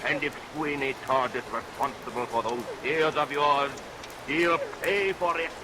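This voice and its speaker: A man playing a blustering, florid, excitable gentleman in a vintage radio melodrama, with declamatory delivery. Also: fairly high pitch, a little slow, close to an everyday voice. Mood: gruff, indignant. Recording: vintage radio recording, steady rain noise.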